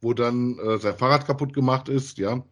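A man with an average-pitched, slightly husky voice talks casually, as on a hobby podcast. He rambles in everyday language and often tacks little tag questions onto his phrases. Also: moderate pace, a bit bright, dull audio. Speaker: podcast host. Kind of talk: casual conversational review of audio dramas.

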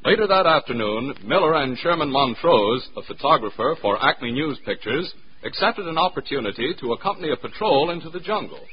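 A man's voice: on the high side, expressive, brisk, few pauses, husky. A man with an American accent narrating as a radio announcer in a solemn, clipped, dramatic 1940s style. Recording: muffled, noisy 1940s radio recording.

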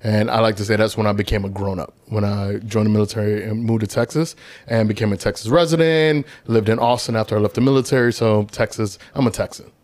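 A man's voice: lowish voice, fairly even intonation, fast talker, a little mellow, dry recording. A man in his late thirties from Cleveland, a guest in a podcast interview, speaking earnestly and reflectively. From Cleveland, Ohio, USA. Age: late 30s. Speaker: guest, travel blogger. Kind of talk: casual interview chat.

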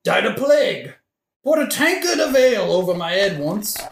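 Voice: mid-range voice, big pitch swings, moderate pace, gravelly. Actor doing tipsy gravedigger, blunt n flippant, rambly, voice goes up and down a lot, echoey audio play. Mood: matter-of-fact. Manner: flippant, matter-of-fact. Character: blunt, long-serving gravedigger, drunk and rambling. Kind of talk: satirical modern-language audio play acting. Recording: echoey room with faint noise.

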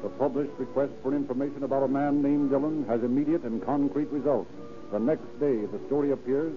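A man's voice: medium pitch, fairly even intonation, a little fast, phone-quality sound, lightly acted. A man narrating an old radio crime drama in a scripted, period style. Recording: old radio recording, music behind.

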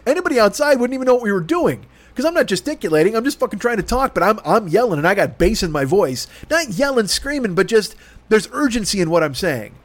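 Heated profane Chicago man, high voice. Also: very animated, quick pace, no room sound. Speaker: podcast host. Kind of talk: casual storytelling rant.

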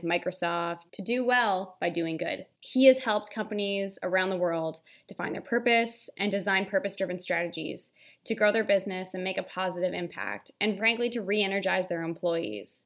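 A woman's voice: lowish voice, creaky, a bit bright. A woman hosting a podcast, reading a scripted intro and then chatting with a guest in an upbeat, enthusiastic way. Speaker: podcast host.

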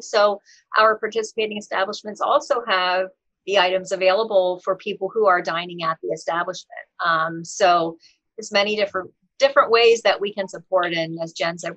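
A woman representing a health services group, explaining the program clearly in an interview. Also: medium pitch, a bit bright. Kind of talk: casual interview. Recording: slightly dull lo-fi sound.

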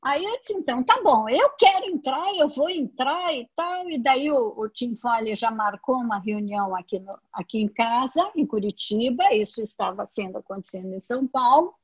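A woman's voice: on the high side, animated, moderate pace, few pauses, breathy edge. A Brazilian woman with a Brazilian Portuguese accent gives a personal testimony to an audience, telling her story with animation and acting out the dialogue she quotes. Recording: dull lo-fi audio.

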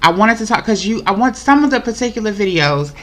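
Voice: medium pitch, animated, fast, a bit bright, slight background noise. A podcast host in a casual interview chat, talkative and encouraging, often repeating the same phrases. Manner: chatty, encouraging, repeats itself.

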